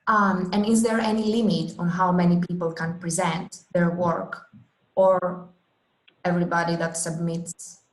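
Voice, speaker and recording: A woman's voice: lowish voice, fairly even intonation, measured pace. A woman conducting an informal interview, asking questions as the interviewer. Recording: roomy, echoing interview recording.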